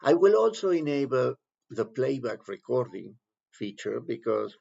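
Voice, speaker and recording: A man instructing step by step through a software tutorial, explaining in halting phrases. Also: medium pitch, sing-song, vocal fry, breathy edge. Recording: dull, lo-fi voice-over recording.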